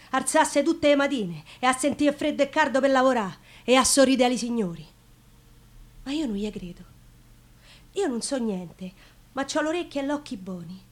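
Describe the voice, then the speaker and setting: An actress playing an outspoken, devout working-class Roman woman, telling her story in colloquial dialect in a theatre monologue. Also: sits high. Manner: plain-spoken, colloquial, confiding.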